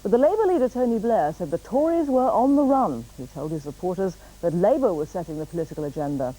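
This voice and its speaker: A woman with a British accent reading scripted news in a formal, measured way. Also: medium pitch, animated, dark tone, rough recording. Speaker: newsreader.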